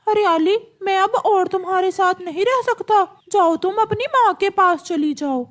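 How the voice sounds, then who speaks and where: Excitable parrot husband for kids' cartoon, played by a woman; piercingly high, emphatic delivery. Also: normal speed. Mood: angry. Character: excitable, hot-tempered male parrot husband, gullible and remorseful. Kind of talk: Hindi children's cartoon voice acting.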